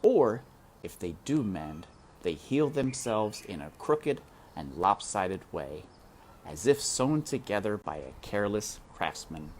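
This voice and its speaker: A man reading a children's novel aloud, expressive and addressing the listener directly. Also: on the low side, slow, slight background noise. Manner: expressive, speaks to the reader directly. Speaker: reader. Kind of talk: children's book read-aloud.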